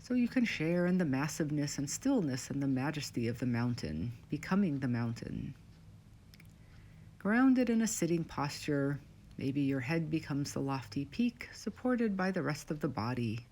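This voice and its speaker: A woman leading a scripted guided meditation in short, measured, soothing phrases with pauses between them. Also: low-pitched, very animated, a little mellow.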